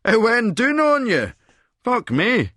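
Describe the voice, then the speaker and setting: A man's voice: extremely high pitch, wildly swooping pitch, slow, bright, forceful tone, no room sound, clean studio sound, exaggerated voice. A man playing a cynical middle-aged Scottish schemer who narrates in a verbose, sardonic, lecturing manner. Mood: mocking, amused. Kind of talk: first-person audiobook narration with dialogue.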